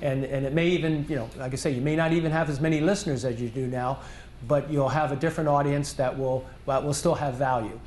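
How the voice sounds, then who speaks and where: A man presenting at a conference, answering audience questions in a measured way, thinking aloud with restarts. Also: mid-range voice, steady tone, darkish tone, roomy sound, a little hiss or hum. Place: conference hall.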